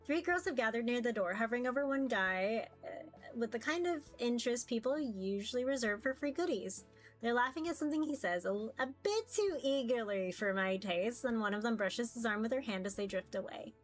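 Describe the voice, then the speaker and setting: A woman reading a visual novel aloud in an expressive first-person narration, occasionally stumbling over words. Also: a little high, brisk. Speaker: VTuber reading a game aloud. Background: music.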